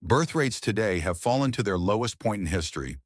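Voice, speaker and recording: Deep-voiced man reading a passage. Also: expressive, brisk, dry recording, clean studio sound. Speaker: model reader for exam practice.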